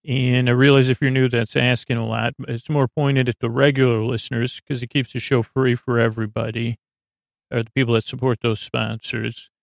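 A man hosting a bedtime podcast in a meandering, halting monologue that trails off into tangents. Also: medium pitch, level tone, creaky, dry recording, clean studio sound. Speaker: podcast host.

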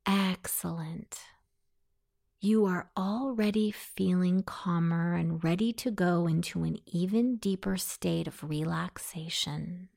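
A woman narrating a guided meditation in a soothing, deliberate, instructing manner. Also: lowish voice, gravelly, darkish tone.

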